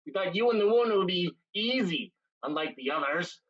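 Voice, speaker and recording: Woman as husky captured lout, lively pitch. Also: fairly quick. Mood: defiant, cowed. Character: defiant captured lout, cracking under threat. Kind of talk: staged play reading. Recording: dull, slightly muffled lo-fi sound.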